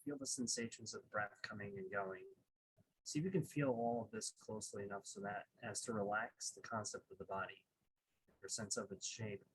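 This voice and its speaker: A man leading a guided meditation, speaking in unhurried short phrases with pauses between them. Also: lowish voice, lively intonation, breathy edge, low-quality recording.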